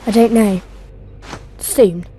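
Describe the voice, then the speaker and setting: A woman's voice: on the high side, slow, mellow. Video game girl lead: young, brave, conspiratorial, decisive, with faint music behind. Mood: determined. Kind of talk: video game cutscene acting.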